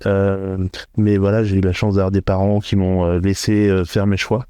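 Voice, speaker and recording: A middle-aged man from northern France answering interview questions, thoughtful and hesitant, with frequent filler pauses. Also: low voice, little pitch movement, warm tone, smooth, no room sound, studio quality. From France, Hauts-de-France. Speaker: podcast guest, entrepreneur. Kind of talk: interview conversation.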